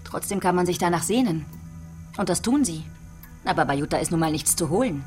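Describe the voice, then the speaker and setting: A woman's voice: fairly low pitch, lively intonation, fairly quick, darkish tone, soft background music, natural voice. An actress playing a relentless female police detective in a radio play, probing and taunting as she interrogates a suspect.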